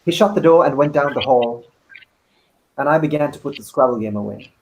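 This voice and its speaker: Sri man dramatically narrating, medium pitch. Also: brisk, darkish tone, poor audio. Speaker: actor.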